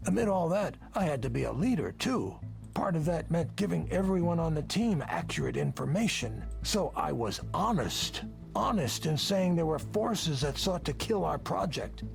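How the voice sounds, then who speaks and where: A self-justifying male project leader in a video game. His delivery is theatrical and very lively, with a gravelly creak in the voice. He argues emphatically and persuasively over background music. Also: a little high, normal speed. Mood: defensive, indignant. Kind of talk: visual novel voice acting.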